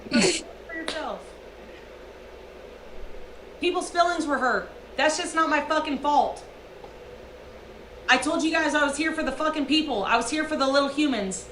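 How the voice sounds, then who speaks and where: A woman's voice: sits high, moderate pace, pauses often, reverberant, background noise. A woman livestreamer delivering a profane, emphatic rant.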